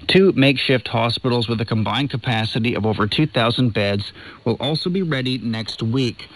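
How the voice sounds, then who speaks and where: A man's voice: medium pitch, a bit bright, a little hiss or hum, low-quality recording. A man presenting scripted radio news, heard through a shortwave broadcast. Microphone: studio broadcast heard over shortwave.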